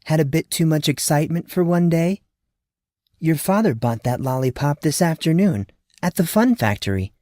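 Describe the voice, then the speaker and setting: A man's voice: fairly high pitch, expressive, normal speed, a little mellow, dry recording, clean studio sound, pitched above the performer's own voice, natural voice. A man voicing a sweetly dismissive mother talking down to her young child, coaxing and a little dreamy. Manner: coaxing, patronizing.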